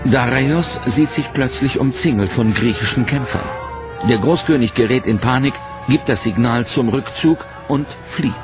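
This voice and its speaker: A man reading a serious, measured documentary narration. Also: medium pitch. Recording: narration over loud music.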